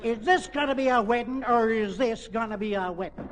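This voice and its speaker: An actor playing a loud, dim, belligerent cowboy with a slow bellowing drawl in a 1940s radio comedy. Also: male voice, extremely high pitch.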